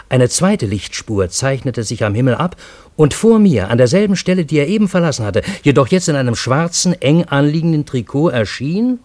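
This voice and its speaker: An actor playing a Parisian man who narrates his own strange adventure in a radio drama, in measured literary storytelling. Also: mid-range voice, a little mellow, no room sound, close to an everyday voice. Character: male Parisian narrator, astonished friend of time traveller.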